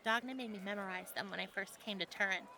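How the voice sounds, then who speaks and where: Over clear background noise comes a woman acting as a friendly tavern woman from a medieval fantasy audio adventure. Her voice is bright and forward. She speaks conversationally, teasing a little as she guides a newcomer. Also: medium pitch, quick pace. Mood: guiding. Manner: conversational, gently instructive.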